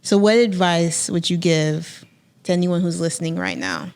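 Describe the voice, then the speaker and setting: Lower-pitched woman podcast co-host. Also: sing-song, moderate pace, a little mellow, smooth. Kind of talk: casual interviewing.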